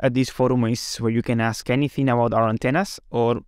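A man presents a product webinar in a medium-pitched voice. He explains step by step and often tags his sentences with a checking question. The sound is dry and close to the mic. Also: flat delivery, a little fast, a little mellow, clear, resonant tone, dry recording. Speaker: company presenter.

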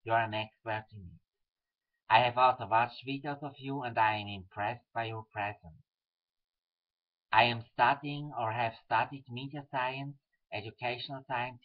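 A man's voice: medium pitch, steady tone, normal speed. Swiss German man reading a webcam letter. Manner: earnest, careful, slightly stilted reading. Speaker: webcam video message sender. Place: small room at home, indoors. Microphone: webcam built-in mic. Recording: dull lo-fi webcam audio.

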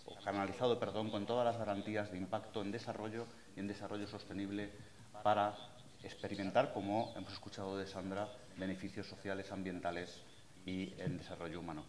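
Low-voiced man moderating a panel. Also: little pitch movement, a little hiss or hum. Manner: formal, measured. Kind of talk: moderating a seminar.

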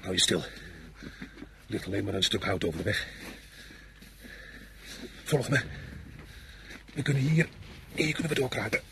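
An actor playing a gruff, resentful veteran scout in a radio play, curt and matter-of-fact. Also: male voice, fairly low pitch, expressive, moderate pace, pauses often. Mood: hushed, alert. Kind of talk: Dutch radio play acting. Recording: noisy old radio play recording.

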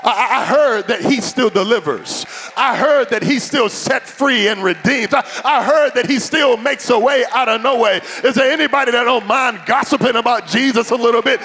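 A man in his thirties preaching an animated, fervent sermon as a pastor, repeating phrases rhythmically for emphasis. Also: extremely high pitch, normal speed, few pauses. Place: large church auditorium stage. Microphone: handheld microphone. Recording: noisy large hall with long reverb.

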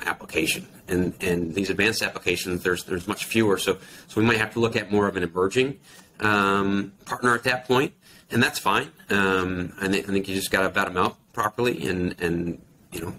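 A man's voice: fairly low pitch, bright, forceful tone, rough recording. A man in his forties with an American accent, explaining things conversationally as an industry guest on a video call, piecing his thoughts together. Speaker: industry expert guest, account manager. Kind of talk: casual explanatory interview talk. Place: small plain indoor office. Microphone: video call computer microphone. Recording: clear background noise on video call.

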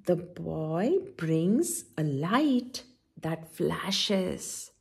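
Children's story-time host: woman, middle-aged, slightly husky, animated intonation, instructive read-aloud with questions to the kids. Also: fairly low pitch, drawn-out words. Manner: expressive, instructive, addresses children with frequent questions. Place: indoor room at home, by a window.

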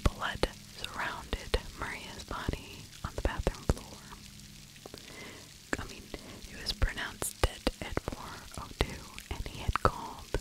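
A middle-aged woman whispers a true crime ASMR commentary in a hushed, conversational way, very close to the microphone. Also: rough recording. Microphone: large fluffy-covered microphone held very close to the mouth.

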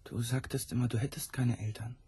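Male lover, radio play, husky soft voice, dark tone, troubled, disbelieving, earnest. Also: medium pitch, fairly even intonation, a little fast, dry recording. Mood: puzzled, quiet. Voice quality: soft, breathy. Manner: questioning, earnest. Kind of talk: intimate German radio play acting.

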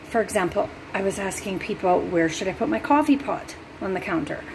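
Middle aged teacher lady chatting to her class on a phone vid, kinda gravelly, bit of faint noise in the house. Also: mid-range voice, brisk, low-quality recording. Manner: chatty, upbeat, talks directly to viewers. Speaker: music teacher. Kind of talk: casual home tour vlog to students. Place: small home rooms, indoors. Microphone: phone camera held by hand.

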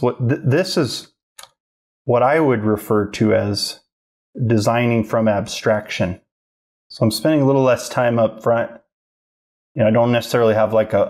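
Guy with a low gravelly voice rambling through a drawing demo in his home studio. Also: a little mellow. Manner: casual, rambling, thinks aloud while working. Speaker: art instructor. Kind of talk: live drawing demo narration.

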